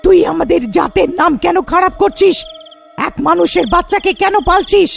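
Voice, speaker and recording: A woman's voice: very high-pitched, normal speed, gravelly, faint music behind, pitched above the performer's own voice, heavily acted. A woman voicing an angry female witch ghost in a fairy tale, accusing and confrontational.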